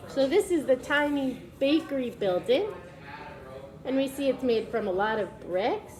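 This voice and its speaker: High-voiced woman reading aloud to children. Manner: explains simply to young children. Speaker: preschool teacher reading a picture book aloud. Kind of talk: children's read-aloud with simple explanatory asides.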